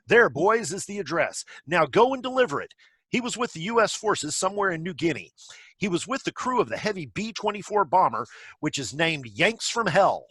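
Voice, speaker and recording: High-pitched, animated male announcer. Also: husky, dry recording. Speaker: announcer in a play reading. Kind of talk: scripted news-style narration. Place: at home on a video call.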